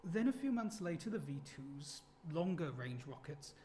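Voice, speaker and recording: Actor as posh, animated young English gentleman soldier. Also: fairly high pitch, moderate pace, a little hiss or hum. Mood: wry storytelling. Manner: posh, jovial, old-fashioned exclamations. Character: young well-spoken English ex-soldier on a 1940s courting stroll. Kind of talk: period radio drama acting.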